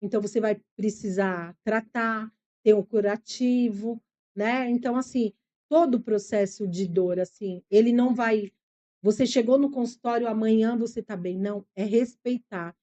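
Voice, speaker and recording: Mellow higher-pitched woman with a São Paulo accent. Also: normal speed. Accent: São Paulo Brazilian Portuguese. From São Paulo, Brazil. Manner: earnest and reflective, explains patiently. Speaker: psychologist guest. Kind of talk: podcast interview, personal storytelling.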